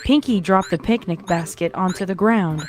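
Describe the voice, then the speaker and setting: A woman's voice: on the low side, moderate pace. A woman reading scripted storybook narration as a fan fiction narrator, with music behind her.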